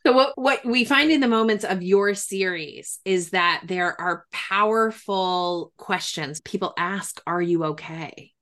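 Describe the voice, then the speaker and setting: A woman's voice: medium pitch, a little slow, hardly any pauses, a bit bright. A woman interviewing on a podcast, talking in an earnest, emphatic and explanatory way. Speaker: podcast interviewer, grief professional. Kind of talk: reflective interview talk.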